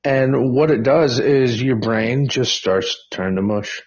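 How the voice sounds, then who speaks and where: A man's voice: mid-range voice, measured pace, muffled recording. A man in his forties chatting casually with plenty of swearing. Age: 40s. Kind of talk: casual chat, profane.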